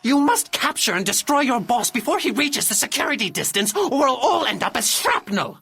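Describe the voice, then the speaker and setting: An actor voicing a quirky, energetic robot gambler in a video game, giving urgent mission instructions in a creaky voice. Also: mid-range voice, moderate pace, heavily acted. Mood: urgent, briefing.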